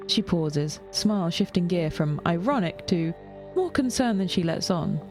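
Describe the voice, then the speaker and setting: A woman narrating scripted fiction in a measured way, with dry wit. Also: fairly low pitch, lively intonation, a little mellow, faint music behind.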